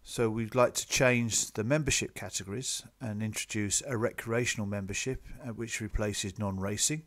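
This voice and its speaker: A man presenting club business to members in a measured, businesslike, explanatory way, partly from a script. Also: fairly low pitch, fairly bright tone. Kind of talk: slide presentation, semi-scripted explanatory talk.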